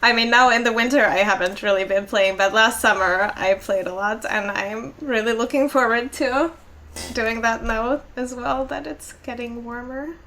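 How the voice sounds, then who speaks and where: Chatty Finnish woman, fairly high voice. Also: normal speed, sharp tone. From Finland. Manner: chatty, many likes and laughs. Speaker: podcast co-host. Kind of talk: casual chat.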